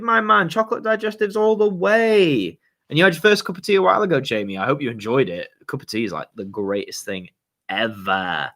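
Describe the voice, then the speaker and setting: Twenties lad, slightly hoarse, relaxed chatty channel host working through viewer questions. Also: male voice, mid-range voice. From Yorkshire, England. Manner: chatty, laid-back, lots of fillers and slang. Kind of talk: casual livestream chat, reading viewer questions.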